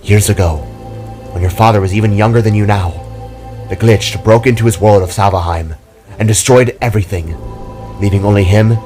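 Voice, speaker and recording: Casting: man reading as wise kitsune guardian, protective and solemn, lowish register, storytelling over music. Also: brisk, dark tone, lightly acted. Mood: solemn, explanatory.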